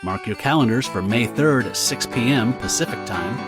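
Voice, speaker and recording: A man's voice: mid-range voice, animated, normal speed, loud music behind the voice. A man speaking as a podcast host, reading a scripted announcement.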